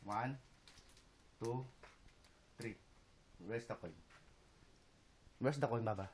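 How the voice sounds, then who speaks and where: A man's voice: lowish voice, slow pace. A man from the Philippines with a Filipino accent, performing street magic for passersby in casual, repetitive broken English, coaxing his audience along. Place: outdoors on a city street. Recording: noisy street with traffic.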